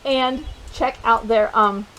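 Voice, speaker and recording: High-voiced woman reading a storybook. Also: slow pace. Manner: animated and playful, with chatty asides to young listeners. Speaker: children's storytime host. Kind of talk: reading a picture book aloud to children.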